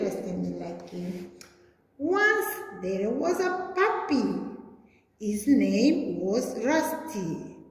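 Very echoey, high-pitched woman teacher reading slowly. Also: a little mellow. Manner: slow, deliberate, singsong delivery for small children. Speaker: preschool teacher. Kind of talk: children's read-aloud story lesson. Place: small classroom, indoors. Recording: very echoey hard-walled room.

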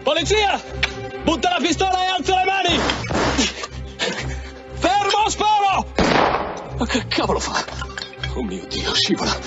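An actor playing an urgent, exclamatory police detective in pursuit in a radio drama. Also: male voice, very high voice, expressive, measured pace, pauses often, heavily acted. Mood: alarmed. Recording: muffled radio drama under loud music.

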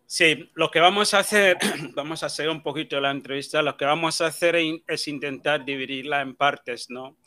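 Man, interview host, online radio, organized, bright edgy tone, dry sound. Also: fairly high pitch, moderate pace, few pauses, dry recording. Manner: organized, plainly introducing topics. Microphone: earphones with small mic on video call.

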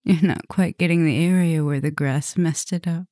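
A woman playing an affectionate, teasing, dominant vampire professor in a soft, intimate ASMR roleplay. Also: low-pitched, steady tone, normal speed, dry recording, studio quality, natural voice. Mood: flirtatious, teasing.

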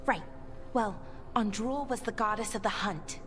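An actress playing a scholarly young elf in a video game, explaining eagerly with halting afterthoughts. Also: female voice, mid-range voice, a bit bright, faint music behind, close to an everyday voice. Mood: earnest explaining. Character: earnest, scholarly young female elf lore enthusiast. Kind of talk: game party dialogue.

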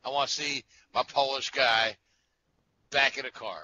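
A man's voice: mid-range voice, fairly even intonation, moderate pace, bright, forceful tone, a little hiss or hum. A man guesting on a podcast, talking in an enthusiastic, joking way. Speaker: motorsport writer, guest. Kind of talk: casual chat. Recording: dull lo-fi sound.

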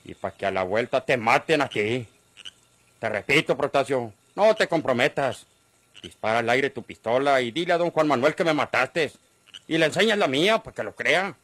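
A middle-aged Mexican countryman in an old-style radionovela. He has a medium-pitched, dryly recorded voice and argues earnestly, shrewd and defiant, in rustic speech. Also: animated, normal speed, fairly bright tone, no room sound. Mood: urgent defiance. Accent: rural Mexican. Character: cornered ranch man, shrewd, pleading yet defiant.

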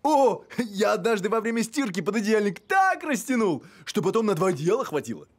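A man voicing a scatterbrained, boastful, good-natured talking dog in an animated cartoon, rambling and excitable. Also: high voice, brisk. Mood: playful, bossy. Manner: rambling, excitable, tells tall anecdotes.

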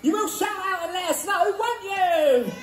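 A woman's voice: very high voice, lively intonation, a little slow. A woman playing an eager, wide-eyed young character in a pantomime, with exaggerated delivery aimed at children. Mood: eager, nervous. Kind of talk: live pantomime stage acting. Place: indoor theatre stage. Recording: echoey theatre stage, faint music.

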